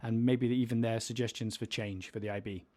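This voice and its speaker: A man's voice: mid-range voice, steady tone, a little fast, over loud music. A man in his thirties, a teacher co-hosting a casual podcast with a playful, self-mocking humor. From England.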